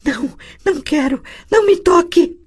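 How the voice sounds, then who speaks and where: A man's voice: high voice, expressive, unhurried, creaky, darkish tone, voice pushed up, full character voice. A man reading an audiobook and voicing a young girl in a trance. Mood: frantic. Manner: screaming in panic. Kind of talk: audiobook character voice.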